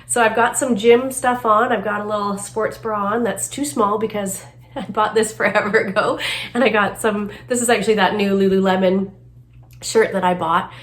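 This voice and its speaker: A woman's voice: medium pitch, fairly bright tone. A middle-aged woman vlogging casually about her makeup at home, talking in a chatty, rambling way with a slightly nasal voice from a cold. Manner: chatty, rambling, self-deprecating asides. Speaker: beauty vlogger. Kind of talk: casual chat to camera. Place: home, then moves upstairs to makeup desk.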